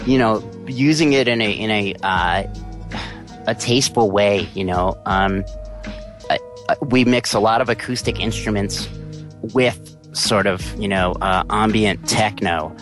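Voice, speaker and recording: A man in his forties with a deep voice, chatting loosely as an interview guest with plenty of fillers. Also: measured pace, soft background music. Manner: rambling, relaxed, many fillers. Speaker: interview guest, musician and actor. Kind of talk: casual interview chat.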